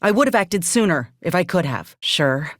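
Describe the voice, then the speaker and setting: An actress playing a plucky, principled ex-soldier turned freelancer in a space-frontier video game, with plainspoken, folksy phrasing. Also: female voice, fairly low pitch, very animated, normal speed, no room sound, studio quality. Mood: righteous, earnest. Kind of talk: video game character acting.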